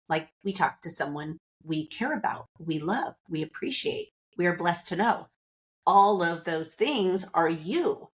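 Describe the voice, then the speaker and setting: A woman hosting a podcast, talking to listeners in a casual, upbeat and chatty motivational monologue, seated indoors. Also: fairly low pitch. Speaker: podcast host.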